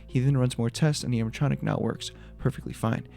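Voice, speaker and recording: A man's voice: medium pitch, steady tone, fast talker, vocal fry, mellow, soft background music. A man giving a scripted breakdown of a horror video as its creator, in voice-over narration.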